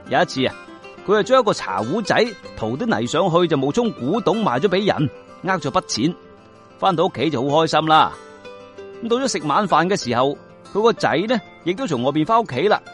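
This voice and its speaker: A man with a Guangzhou Cantonese accent telling scripted folk stories in an expressive, colloquial, explanatory way. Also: fairly high pitch. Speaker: storyteller and presenter of Cantonese folk sayings. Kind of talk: lively scripted storytelling narration.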